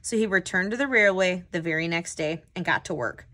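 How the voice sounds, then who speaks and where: A woman hosting a children's read-aloud as a tutor, telling the story with clear, expressive phrasing. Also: fairly low pitch, brisk.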